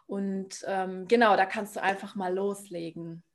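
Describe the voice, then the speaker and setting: A woman hosting a podcast, gently inviting her guest to talk about her life. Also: mid-range voice, steady tone, measured pace. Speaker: podcast host. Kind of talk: podcast interview host.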